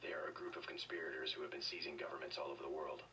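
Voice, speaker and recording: A man playing a stoic, terse secret agent in a video game, speaking in a flat, deadpan way. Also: a bass voice, rapid-fire, far from the mic, slight background noise. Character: stoic gravel-low male secret agent, questioning locals.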